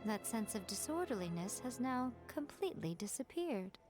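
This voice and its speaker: Brief: playful, knowing fox-spirit priestess, fairly high voice, soft game music behind. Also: female voice, sing-song, moderate pace. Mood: wry. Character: sly, teasing fox-spirit shrine priestess. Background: game soundtrack music.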